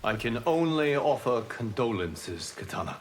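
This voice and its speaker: An actor playing a solemn fire god and guardian in a video game, speaking in a measured, formal, cryptic way. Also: male voice, mid-range voice, big pitch swings, a little hiss or hum, natural voice.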